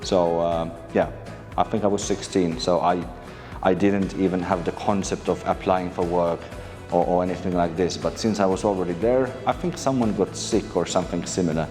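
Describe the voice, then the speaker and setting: A man in his thirties from Finland, a maritime lecturer answering interview questions in a relaxed way, with frequent fillers and restarts. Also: sits low, moderate pace, long reverb tail, soft background music. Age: 30s. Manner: hesitant, many fillers and restarts.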